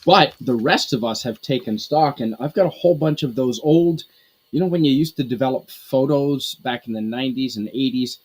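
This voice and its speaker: Husky, hesitant man rambling on a podcast. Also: medium pitch, normal speed. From Canada. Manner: rambling, hesitant, many restarts and fillers. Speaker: podcast co-host. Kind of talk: casual group podcast chat.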